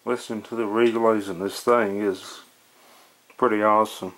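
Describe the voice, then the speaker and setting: A man narrating casually and off the cuff, thinking aloud in a halting way as he tinkers, on a camera microphone in a small room. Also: lowish voice, fairly even intonation, a bit bright. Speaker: hobbyist demonstrating a reel-to-reel tape recorder. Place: small cluttered room at home, indoors. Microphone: camera microphone near the equipment. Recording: noisy camera audio with clicks.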